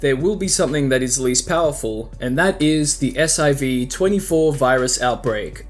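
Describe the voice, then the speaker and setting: Man narrating casually over music. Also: medium pitch. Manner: conversational, rhetorical questions to the listener. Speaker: host and narrator of horror analysis videos. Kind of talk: casual scripted video commentary.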